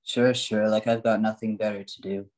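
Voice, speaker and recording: A man playing a grumpy, sarcastic robot bartender with a flat robotic delivery in an amateur radio play. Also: lowish voice, moderate pace, close to an everyday voice. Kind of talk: amateur old-time radio play acting.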